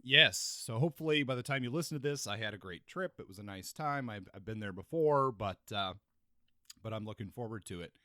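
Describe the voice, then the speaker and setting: A man's voice: on the low side, lively intonation, fairly quick, sharp tone, no echo, studio quality. A man co-hosting a podcast, chatting casually about books. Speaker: podcast co-host. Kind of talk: casual book discussion.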